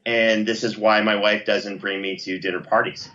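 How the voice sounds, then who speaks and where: A man's voice: lowish voice, little pitch movement, moderate pace, sharp tone. A man chatting casually as an interview guest, playful and joking as he talks things through, on a dull recording. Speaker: podcast producer and interview guest.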